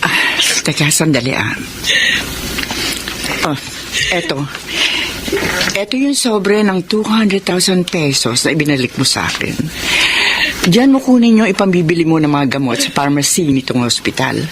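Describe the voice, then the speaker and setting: An actress playing a kind, generous female doctor in a radio drama, calm and matter-of-fact. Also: low voice, moderate pace, long pauses, noisy background.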